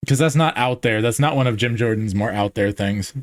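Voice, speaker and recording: A man's voice: lowish voice, brisk, no echo. Man thinking aloud on a dry mic. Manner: thinks aloud with many fillers and restarts. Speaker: podcast host. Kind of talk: casual theological discussion.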